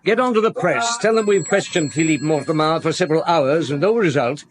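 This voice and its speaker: An actor playing a calm, probing police chief inspector in a radio drama, questioning witnesses and giving orders in a measured, authoritative way. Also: male voice, on the high side, a little hiss or hum, close to an everyday voice. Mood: commanding. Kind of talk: 1950s-set radio drama acting.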